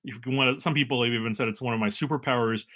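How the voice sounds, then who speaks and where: A middle-aged man from California, a marine biologist guest speaking in a measured, explanatory way with frequent pauses and fillers. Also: mid-range voice, steady tone, pushed and bright. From San Francisco, California. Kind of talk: relaxed interview talk.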